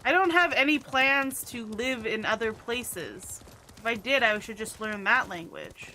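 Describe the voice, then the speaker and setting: Female streamer, casual playful commentary, bright, a bit high. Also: very animated, unhurried, slight background noise. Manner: chatty, playful, laughs often. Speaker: game streamer.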